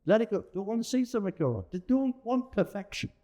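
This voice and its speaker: A man's voice: high-pitched, expressive, fast talker, dark tone. A middle-aged or older man, chatting in a jokey, theatrical and emphatic way as an interviewed performer. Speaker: pantomime performer being interviewed. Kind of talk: casual interview chat.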